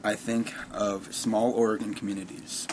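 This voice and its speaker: A man's voice: fairly low pitch, flat delivery, normal speed, faint music behind. A twenty-three-year-old man giving blunt, wry opinions in a casual interview. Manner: blunt and wry, piling up details.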